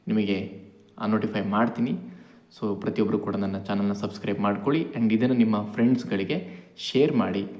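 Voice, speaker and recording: A man's voice: fairly low pitch, a little mellow. A man giving an informal update to viewers as a channel host, explaining step by step and mixing in English words. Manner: explanatory, repeats key points, mixes in English words. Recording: echoey room.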